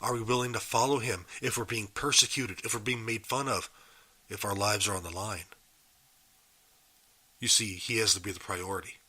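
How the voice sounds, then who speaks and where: Man, Bible podcast host, raspy and husky from a cold, bright forward tone, earnest questioning delivery. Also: on the low side, steady tone, moderate pace, pauses often. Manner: earnest, asks the listener questions. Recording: live and unedited.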